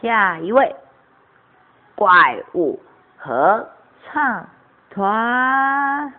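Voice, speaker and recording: A woman's voice: a little high, big pitch swings, extremely slow. A woman performing and hosting an amateur radio drama. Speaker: host and narrator of an amateur radio play. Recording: tinny phone-like sound with faint noise.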